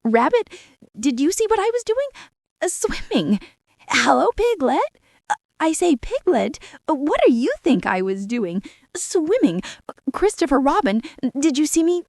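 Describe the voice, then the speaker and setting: A little boastful joey, very high, eager. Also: female voice, very animated, unhurried, a little mellow, dry recording, studio quality, full character voice. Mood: excited. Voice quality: squeaky.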